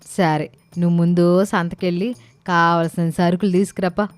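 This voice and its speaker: A woman's voice: fairly low pitch, flat delivery, normal speed, dry recording, close to an everyday voice. A woman playing a bossy, sensible older village aunt who talks in colloquial, scolding, speech. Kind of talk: animated moral-story dialogue.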